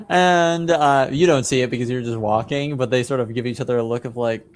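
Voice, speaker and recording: A man acting as game master, narrating a tabletop game in a casual, laid-back, halting conversational way. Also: medium pitch.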